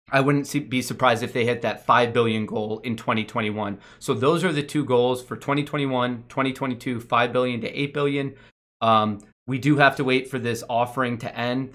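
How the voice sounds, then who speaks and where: A man's voice: mid-range voice, a bit bright. Casual, emphatic male market commentator. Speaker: host of a stock market show. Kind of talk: casual off-the-cuff financial commentary.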